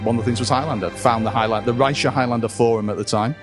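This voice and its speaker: A man's voice: medium pitch, steady tone, rapid-fire, over music. A man being interviewed as a fan, casually recalling old times. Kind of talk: casual recollection.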